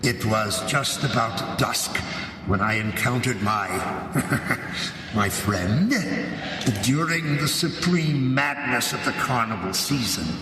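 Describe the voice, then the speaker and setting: An actor playing a gleefully scheming, vengeful man in a live radio drama, sly and deliberate, with feigned courtesy and knowing pauses. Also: mid-range voice, animated. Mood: gloating, confiding. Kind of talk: theatrical radio drama narration and acting. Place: large hall, live stage performance. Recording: echoey cavernous hall, distant, noisy live recording.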